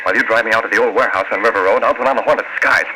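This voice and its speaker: A man's voice: lowish voice, very fast, natural voice. An actor playing a decisive newspaperman who is secretly a vigilante, polite and authoritative, with an American accent, in an old radio drama. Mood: brisk, decisive. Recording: noisy old radio broadcast recording.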